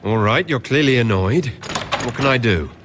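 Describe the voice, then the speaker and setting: A diplomatic male leader from a fantasy game, voiced by an actor. He is measured and businesslike, with a middling pitch that moves in a lively way. Faint noise lies behind the dialogue. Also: close to an everyday voice. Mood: composed. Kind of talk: video game dialogue acting.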